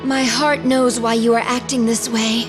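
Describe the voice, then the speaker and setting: A woman's voice: on the high side, flat delivery, moderate pace, over music, close to an everyday voice. A woman voicing a proud, dutiful young warrior princess in a fantasy video game, with formal, earnest delivery. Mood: earnest, guarded. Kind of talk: dramatic game dub acting.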